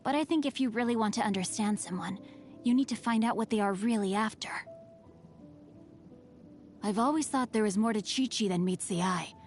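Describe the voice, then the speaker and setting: A woman voicing a bookish, sly teenage boy martial artist from a video game, speaking in formal, articulate, measured phrases. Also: medium pitch, darkish tone, faint music behind, natural voice. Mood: poised, wry. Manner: formal, articulate, measured, old-fashioned wording. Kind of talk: scripted video game character voice lines.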